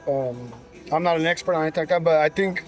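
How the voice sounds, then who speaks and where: Fast-talking high-voiced man interviewer. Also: fairly even intonation, faint music behind. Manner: casual, slangy, quick reactions. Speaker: street interviewer. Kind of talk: casual street interview. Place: outdoors.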